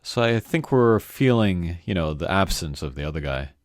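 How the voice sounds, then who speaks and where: Very low-voiced man, lively casual gamer. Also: normal speed, no room sound, clean studio sound. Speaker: gaming channel host. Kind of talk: casual playthrough commentary. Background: game music.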